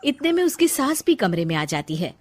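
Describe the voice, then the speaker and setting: A woman narrating a scripted story for an animated moral tale. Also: on the high side, a little fast.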